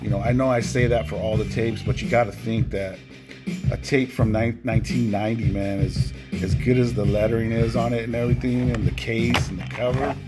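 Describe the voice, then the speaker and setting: A man's voice: medium pitch, flat delivery, fairly quick. A man hosting a casual show-and-tell of a collection, enthusiastic and often punctuating his phrases with "man". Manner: enthusiastic, repeatedly tacks "man" onto phrases. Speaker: video host showing cassette collection. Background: music with guitar.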